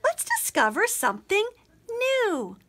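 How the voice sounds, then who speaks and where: High, eager young princess read by a woman. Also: big pitch swings, slow pace, fairly bright tone, full character voice. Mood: excited. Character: eager, inventive young princess scientist in a storybook.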